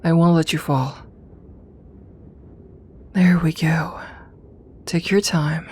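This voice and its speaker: A woman playing a doting, teasing evil lamia queen in an ASMR roleplay, soft-spoken and unhurried, with formal phrasing and near-whispered murmurs. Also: deep voice, fairly even intonation, a little hiss or hum. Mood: gentle, reassuring. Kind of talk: ASMR roleplay, intimate personal attention.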